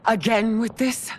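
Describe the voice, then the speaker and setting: A woman's voice: a little high, a little hiss or hum. A woman playing a stern, dignified empress in a fantasy video game, formal and commanding.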